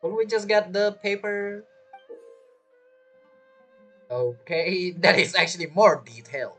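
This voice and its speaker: A man's voice: high-pitched, lively intonation, takes it slowly, a bit bright. A man streaming a game, giving brief, offhand reactions in casual live commentary. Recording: game music behind the voice.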